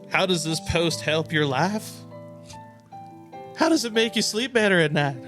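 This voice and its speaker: A man's voice: high-pitched, a bit bright, voice pushed up. A man voicing an angry, demanding man who makes menacing threats in a dramatic reading of messages. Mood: menacing, insistent.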